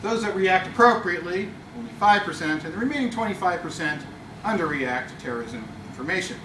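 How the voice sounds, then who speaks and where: A man plays a smug government spokesman, dry and condescending, and his voice sits high. He sounds distant in an echoey meeting room, with steady noise underneath. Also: brisk. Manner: dry, mock-official, condescending. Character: pompous male government spokesman, smug and bureaucratic. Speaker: Toastmasters contest speaker. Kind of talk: deadpan comic speech in character as a government official. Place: meeting room before a small audience.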